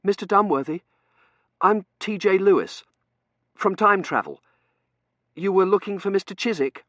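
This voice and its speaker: Man acting a nervous, high-voiced young male student. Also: expressive, moderate pace, a bit bright, no room sound. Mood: nervous, deferential. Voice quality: stammering. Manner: hesitant, deferential. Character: nervous young male undergraduate, deferential and stammering.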